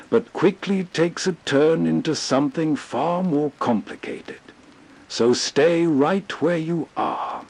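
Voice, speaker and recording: A man narrates as a host in a soothing, scripted style. His voice is slightly high for a man and husky, and he takes his words very slowly. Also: darkish tone.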